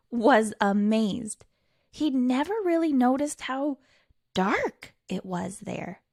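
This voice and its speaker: Expressive woman reading a children's storybook, higher-pitched. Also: dry recording, clean studio sound. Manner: theatrical, with dramatic pauses, stretched words and hushed emphasis. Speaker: storytime reader. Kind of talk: expressive read-aloud of a children's picture book.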